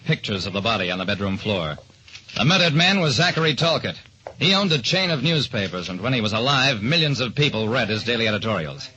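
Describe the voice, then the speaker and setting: A man's voice: medium pitch, big pitch swings, fairly quick, bright, forceful tone, a little hiss or hum. An actor, a man, playing a hard-boiled private detective who narrates his case in a clipped, dramatic old-radio style. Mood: wry, dramatic. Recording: dull old-time radio recording.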